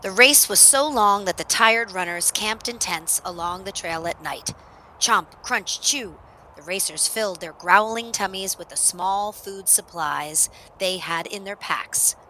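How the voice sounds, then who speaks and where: A woman narrating a children's story in an expressive read-aloud style, with dramatic emphasis on action words. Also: mid-range voice, measured pace, hardly any pauses, slight background noise, poor audio.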